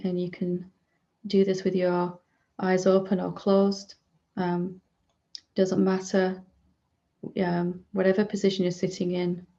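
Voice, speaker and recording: A woman guides a meditation in a soft-edged, mellow voice with a noticeable creak. Her delivery is very even and gentle, broken into short phrases with generous pauses. The recording sounds slightly dull. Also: on the low side. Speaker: spiritual teacher leading a guided meditation.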